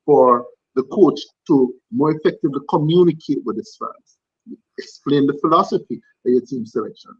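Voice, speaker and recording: A man on a cricket panel, measured and earnest, stressing the same point several times. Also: mid-range voice, slightly rough, no echo, highs cut off. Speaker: cricket pundit. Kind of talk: panel discussion.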